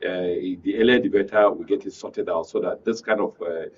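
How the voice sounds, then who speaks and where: A man with a Nigerian accent giving halting, unscripted political commentary as a panel guest. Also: low voice, fairly even intonation, darkish tone, recorded from a distance. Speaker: studio guest, public affairs commentator. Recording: dull, off-mic audio with background noise.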